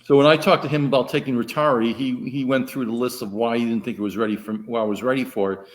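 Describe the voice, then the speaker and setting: Loud middle-aged guy from the mid-atlantic us on a podcast, rambles, repeats himself as he starts. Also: medium pitch, steady tone, fast. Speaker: podcast co-host. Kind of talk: casual chat.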